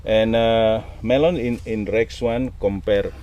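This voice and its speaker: An Indonesian man with a deep voice, the halting specialist introducing his work on a noisy farm tour. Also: steady tone, warm tone, highs cut off. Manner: halting, pauses often. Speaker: crop specialist being interviewed. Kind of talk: halting self-introduction in an interview. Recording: ambient background noise.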